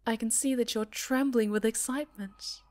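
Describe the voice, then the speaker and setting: A woman playing a composed TV talk-show host in an audio roleplay, speaking in a measured, polished, formal way. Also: on the high side, steady tone, darkish tone, lightly acted. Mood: poised, presenting. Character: poised female TV talk-show host, composed under threat.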